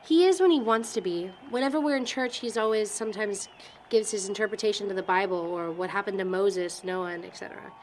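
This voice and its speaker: Casting: actress as a hesitant, wry young woman, mid pitch, audio drama, slight noise. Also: fairly quick, lightly acted, rough recording. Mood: thoughtful, uneasy. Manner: hesitant, searching for words.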